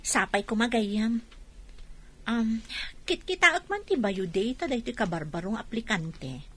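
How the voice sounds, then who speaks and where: A woman acting a businesslike but soft-hearted employer in an Ilocano radio drama. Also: a little high, lively intonation, a little slow. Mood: thoughtful.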